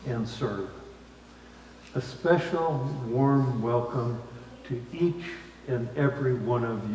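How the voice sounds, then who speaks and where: A man delivers a formal welcome to a congregation at an unhurried, measured pace. His mid-pitched voice is picked up from some distance in a large, echoing sanctuary. He phrases each part deliberately, in the manner of a scripted church greeting. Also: darkish tone. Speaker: worship associate giving the welcome. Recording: echoey hall, distant mic, faint noise.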